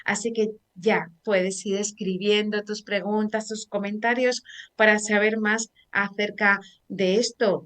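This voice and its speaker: Una mujer con acento de España presentando en directo un programa de radio, charlando con soltura y muchas muletillas. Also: female voice, mid-range voice. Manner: chatty and warm, frequent fillers like "eh" and "pues bueno". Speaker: radio show host. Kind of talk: live radio talk show hosting.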